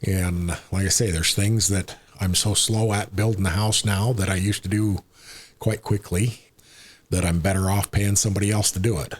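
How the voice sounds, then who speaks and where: A man in his sixties with an American accent, chatting casually as a podcast guest in a rambling, unhurried way. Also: low voice, steady tone. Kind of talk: casual conversational interview.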